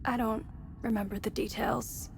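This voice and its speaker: Wry, measured woman scientist, noisy ambience. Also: a little high, little pitch movement, creaky. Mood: calm, confiding. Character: chatty, wry female military research scientist, adult. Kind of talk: scripted game dialogue, conversational exposition.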